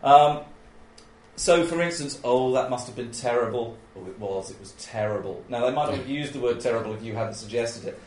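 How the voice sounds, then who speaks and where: A man with a loud voice giving an informal training talk on interviewing, chatty and often laughing as he acts out example questions. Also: medium pitch, brisk, slight background noise. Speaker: oral history trainer. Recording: echoey room.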